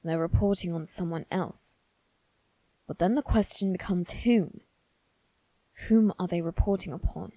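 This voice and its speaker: A woman voicing a haughty, anxious young noble boy in a halting, formal monologue for dramatic ASMR roleplay. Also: lowish voice, dark tone, no echo, poor audio. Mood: uneasy pondering. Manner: halting, formal, self-reassuring delivery.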